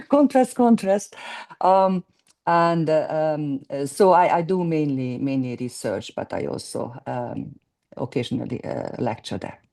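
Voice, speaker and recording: A woman in her sixties, a guest economist speaking in a halting way as she searches for words. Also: deep voice, expressive, warm tone. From Hungary. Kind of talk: conversational interview.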